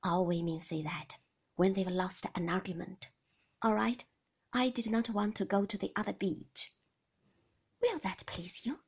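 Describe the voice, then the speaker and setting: A woman's voice: mid-range voice, measured pace, pauses often, husky. A woman reading the lines of a brooding, curt upper-class man in a bitter, clipped manner. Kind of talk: audiobook reading.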